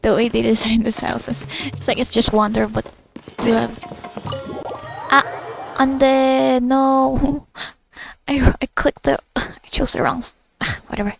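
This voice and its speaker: A woman gives casual gameplay commentary over soft game music. Her voice is slightly high with plenty of fry, and she muses slowly, often trailing off. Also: little pitch movement, low-quality recording. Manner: musing aloud, trailing off, reads game text aloud. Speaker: game streamer.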